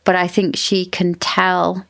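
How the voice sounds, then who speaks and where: Gravelly low-voiced woman chatting about a book. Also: completely flat delivery, takes it slowly, a bit bright. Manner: thinks aloud, building theories with "like" and "I think". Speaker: podcast co-host. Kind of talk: casual book-club chat.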